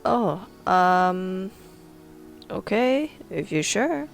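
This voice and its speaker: A woman voicing a slow, gentle café waitress. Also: mid-range voice, expressive, vocal fry, soft background music, exaggerated voice.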